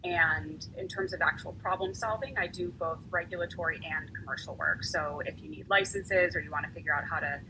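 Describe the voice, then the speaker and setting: A woman's voice: lowish voice, brisk, hardly any pauses. A woman lawyer speaking as a guest on a panel, telling her story in a chatty way and often repeating words as she goes. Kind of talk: casual panel conversation. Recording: noisy, hissy recording.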